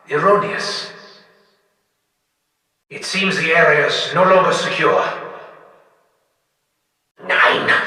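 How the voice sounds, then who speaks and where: Scheming German scientist: theatrical game villainy, measured slow pace, resolute, far off in a long hall reverb. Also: male voice, on the high side, sharp tone. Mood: calculating, then urgent. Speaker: video game villain-hero character. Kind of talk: dramatic game voice acting. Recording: echoey distant hall reverb.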